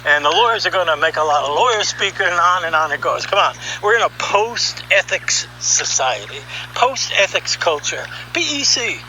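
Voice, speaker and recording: A man giving an opinionated, conversational monologue as a podcast host, sardonic and animated, throwing out rhetorical questions. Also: high voice, moderate pace, hardly any pauses, slight background noise, low-quality recording. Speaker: podcast host and audio artist. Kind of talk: opinionated monologue, reading article excerpts aloud.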